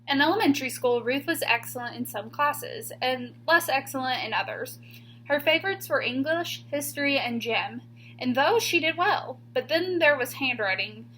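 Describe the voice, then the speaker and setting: A woman with a high voice reads a picture book to children in a teacher's expressive style. She pauses deliberately and leans on key words. There is some faint noise and hum behind her. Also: low-quality recording. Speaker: teacher reading a book aloud.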